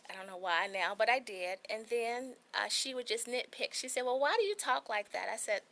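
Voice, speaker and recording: A woman's voice: fairly high pitch, expressive, a little fast, fairly bright tone. A woman in her forties with a small, light voice, telling her own story as a guest on a talk show, earnest and candid. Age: 40s.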